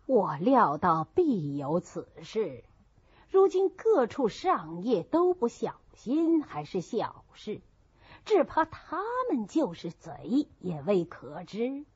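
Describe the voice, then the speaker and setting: A woman's voice: medium pitch, big pitch swings, slow, a little mellow, husky, dry recording, heavily acted. A woman voicing a stern, worried old matriarch in an audiobook, grave and admonishing, in a muffled recording. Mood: grave, reproving.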